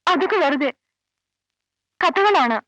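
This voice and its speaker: Curt, high-voiced defensive widow. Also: female voice, animated, slow, fairly bright tone, no room sound, exaggerated voice. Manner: terse, evasive, cool. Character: curt, defensive widow under police questioning. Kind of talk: Malayalam film dialogue. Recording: dull old film soundtrack, faint music.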